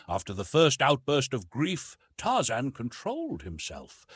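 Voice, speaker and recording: Male narrator for adventure audiobook. Expressive and theatrical, husky voice, very dry studio-like sound. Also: mid-range voice, dry recording. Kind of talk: dramatic scripted narration of an adventure novel.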